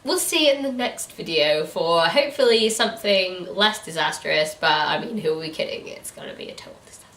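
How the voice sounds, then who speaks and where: Animated, giggly British woman, roomy sound. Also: lowish voice, a little fast. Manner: giggly, incredulous, sweary. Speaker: host of a book review show. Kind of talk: casual comedic book chat. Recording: roomy echo, distant mic.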